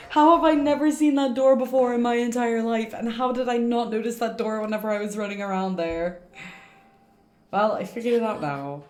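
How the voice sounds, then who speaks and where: A woman streamer casually reacting out loud to a video game as she plays. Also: a little high, fairly even intonation, normal speed. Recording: roomy echo, game music behind. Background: video game music and dialogue.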